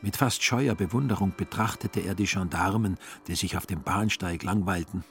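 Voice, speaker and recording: A man narrating a literary radio play in a measured, ironic storytelling manner. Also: low-pitched, vocal fry, faint music behind.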